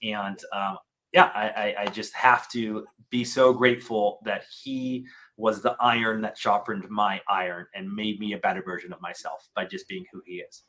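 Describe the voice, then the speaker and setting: American male guest, bright crisp voice, heartfelt and deliberate. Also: fairly low pitch, steady tone. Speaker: podcast guest, startup founder. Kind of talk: casual interview answers. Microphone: video call.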